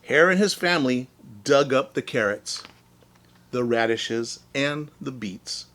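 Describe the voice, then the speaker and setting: A man's voice: mid-range voice, lively intonation, slow, a bit bright. A man reading a children's story as a teacher, expressively, explaining things in simple words.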